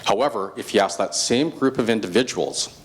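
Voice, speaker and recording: Low-voiced man, halting debater. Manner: halting, with restarts, pushing to hold the floor. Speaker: mayoral candidate. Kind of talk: political debate.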